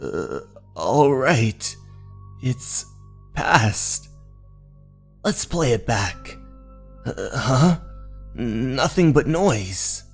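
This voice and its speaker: Man acting an excitable, bewildered young hiker. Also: medium pitch, drawn-out words, long pauses, breathy edge, exaggerated voice. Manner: stammering, exclamatory. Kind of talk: horror manga dub. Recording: music under the voice.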